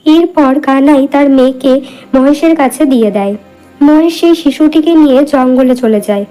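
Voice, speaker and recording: A woman's voice: high-pitched, moderate pace, mellow, faint music behind, poor audio. A woman narrating a scripted cartoon moral story as a storyteller.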